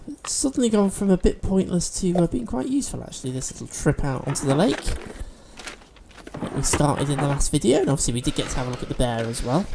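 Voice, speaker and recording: A man's voice: a little high, very animated, fast, creaky, noisy background. Sniffly man narrating his gameplay. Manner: chatty, explains game mechanics as he plays. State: sniffly from allergies. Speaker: gaming video host. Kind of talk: let's-play commentary.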